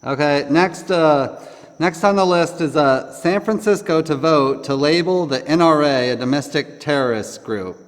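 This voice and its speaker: A man's voice: on the high side, a little slow. A man chatting casually about current events as a host, blunt and opinionated, in a rambling, dismissive way. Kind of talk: casual political chat over the phone.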